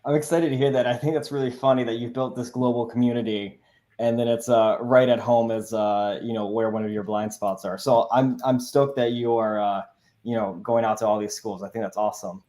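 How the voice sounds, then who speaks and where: A man's voice: medium pitch, steady tone, a little fast, mellow. A man hosting a live online panel, talking in an upbeat, laid-back way with frequent "you know" fillers. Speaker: host, moderator. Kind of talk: casual livestream moderating.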